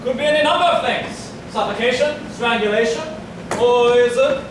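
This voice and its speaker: A man playing a brisk, courteous police inspector with formal, old-fashioned speech in a staged murder-mystery farce. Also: very high voice. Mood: businesslike. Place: theatre staged reading, distant mic. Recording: echoey hall from far off, noisy.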